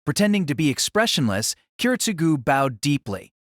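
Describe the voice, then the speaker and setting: A man's voice: a little high, big pitch swings, normal speed, dry recording, studio quality. A man narrating a light novel in a dramatic, scripted storytelling style.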